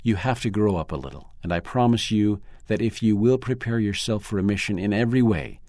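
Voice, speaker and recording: A man reading a first-person article aloud in a measured, earnest way. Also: fairly low pitch, darkish tone, no room sound, clean studio sound. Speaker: narrator.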